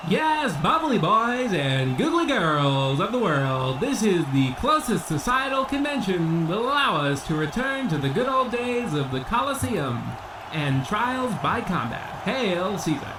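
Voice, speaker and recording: A man's voice: high-pitched, big pitch swings, a little slow, background noise. A man playing a grandiose boxing ring announcer obsessed with ancient Rome, in an old-time radio comedy style. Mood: grand, excited. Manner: grandiose, theatrical showman delivery.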